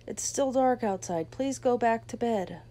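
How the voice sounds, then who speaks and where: A woman voicing a sleepy mother speaking softly and drowsily. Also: fairly high pitch, unhurried, voice pushed up. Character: sleepy mother woken too early.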